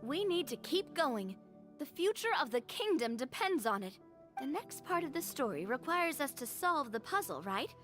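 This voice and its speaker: An eager, bright adventurer. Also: female voice, sits high, very animated, normal speed, faint music behind. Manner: upbeat, curious.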